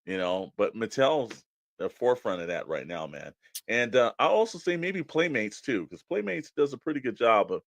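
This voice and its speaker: A man's voice: mid-range voice, very animated, normal speed, gravelly, no room sound. An American man co-hosting a podcast in a casual, conversational style. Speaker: podcast co-host. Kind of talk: casual toy podcast chat.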